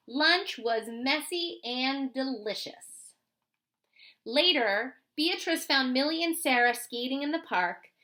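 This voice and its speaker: A woman reading a children's story aloud expressively, with dramatic emphasis and pauses. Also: sits high, bright, forceful tone. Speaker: storybook reader.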